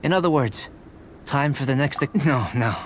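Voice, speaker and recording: A man playing a calm, scholarly young alchemist in a video game, polite and curious, with measured, formal phrasing. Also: mid-range voice, a little hiss or hum, natural voice. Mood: composed. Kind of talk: video game character acting. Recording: game music behind the voice.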